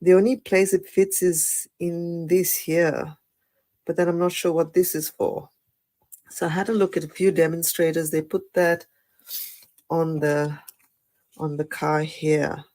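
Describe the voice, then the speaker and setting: A woman with a croaky voice after the flu, chatting through a live card-making tutorial with many fillers and apologies. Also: lowish voice, little pitch movement, a little slow, darkish tone. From Australia. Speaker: craft demonstrator.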